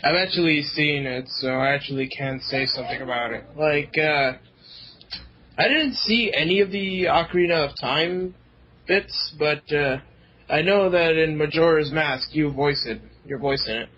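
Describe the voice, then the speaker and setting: High, giggly young man, husky. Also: moderate pace, bright, forceful tone, highs cut off. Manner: giggly, laughs often. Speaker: podcast co-host. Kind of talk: casual podcast chat.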